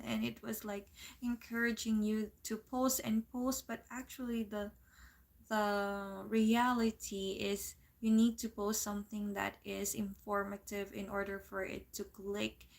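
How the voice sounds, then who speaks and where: A woman with a Filipino accent, chatting casually on her livestream and pausing often to find her words. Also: mid-range voice. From Philippines. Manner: unhurried, searching for words, reads viewer comments aloud. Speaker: livestream host and part-time English teacher.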